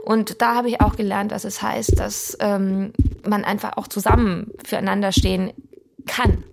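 A woman speaks casually in an interview, her voice marked by creak. Her pitch sits in the middle. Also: flat delivery, normal speed.

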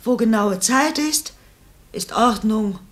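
A woman's voice: fairly high pitch, animated, slow pace. An actress in a German radio play playing a practical, dismissive middle-aged mother who likes order and speaks matter-of-factly. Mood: brisk, firm.

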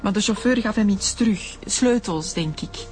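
A frightened young Flemish woman , played by an actress, giving testimony in a radio crime drama. Her medium-pitched voice is earnest and a little defensive. Faint music runs underneath. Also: normal speed, lightly acted. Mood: earnest recounting.

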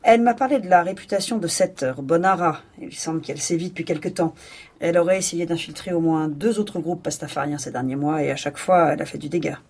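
A female police chief in an audio drama, with a low voice and a husky edge. She is composed and dry, giving orders and questions in a measured, businesslike manner. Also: a little mellow. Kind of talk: French audio fiction acting.

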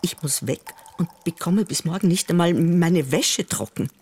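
Husky, burdened camp washerwoman. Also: on the low side, moderate pace, darkish tone. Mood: distressed. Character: burdened camp woman at the washing trough. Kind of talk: German radio play acting.

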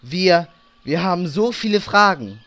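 A man plays an awestruck hero in a parody radio play. His fairly high voice moves slowly and haltingly, stammering with dramatic weight. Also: expressive, a bit bright, heavily acted. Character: awestruck, long-waiting male online-game hero. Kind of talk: comic fan audio drama acting.

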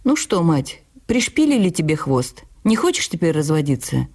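A man's voice: a little high, big pitch swings, moderate pace, a little mellow. A woman voicing a teasing, plain-spoken young husband and father in a familiar, bantering way.

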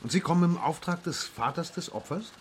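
An actor playing a businesslike police inspector in a radio play, speaking in an official but offhand way. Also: male voice, a little high, fairly quick, slight background noise, lightly acted.

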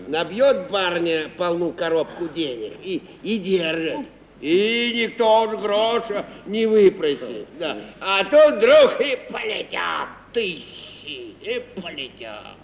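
An actor playing a gossipy, blunt old servant who mutters and hems in folksy speech in an old radio comedy. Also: male voice, sits high, big pitch swings, slow, full character voice. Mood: grumbling, sly. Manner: folksy, muttering, repetitive hemming. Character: grumbling, gossipy old male servant, bluntly truth-telling. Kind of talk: 1950s Russian radio stage comedy acting. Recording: very noisy old 1950s radio recording.

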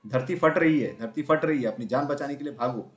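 A man voicing a panicky little male rabbit who shouts frantically in a storybook reading. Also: mid-range voice, brisk, pitched above the performer's own voice, close to an everyday voice. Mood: panicked. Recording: roomy echo.

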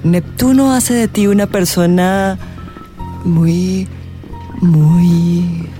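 A flamboyant fortune-telling witch for a radio play. She speaks slowly in a lower female register, stretching words for suspense and slipping toward whispers. Music plays prominently behind her. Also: steady tone, mellow. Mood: awed, dramatic. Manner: dramatic, suspenseful drawn-out delivery with whispers. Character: theatrical, eccentric woman astrologer and witch, gushing and flirtatious. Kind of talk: radio drama acting.

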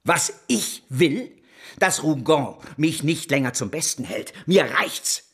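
An actor playing an irascible, domineering newspaper boss and schemer in a German radio drama, with a husky, declamatory delivery. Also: male voice, fairly high pitch, lively intonation, slow pace, fairly bright tone, exaggerated voice. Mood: indignant. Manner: imperious, declamatory.

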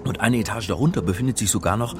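An actor playing a hesitant, dryly sarcastic religious studies lecturer in a radio crime drama. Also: male voice, lowish voice, very fast, over music, natural voice. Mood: eager. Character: flustered male religious studies lecturer, sardonic accidental sleuth. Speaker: narrator and lead actor. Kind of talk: radio crime drama, dialogue and first-person narration.

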